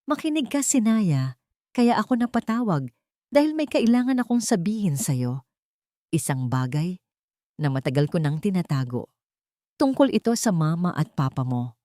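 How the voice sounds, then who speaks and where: A woman's voice: fairly low pitch, very animated, moderate pace, pauses often, warm tone, dry recording, clean studio sound. A woman voicing a kindly, worried elderly aunt from the province in an audio story, earnest and concerned. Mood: urgent, secretive.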